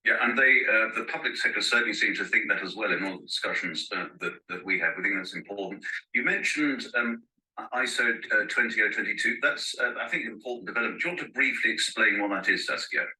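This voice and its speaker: A male interviewer with a very low voice and a bright, sharp edge, hesitating and restarting his questions. He sounds set back from the mic in a roomy space. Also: quick pace, far from the mic. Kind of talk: panel interview.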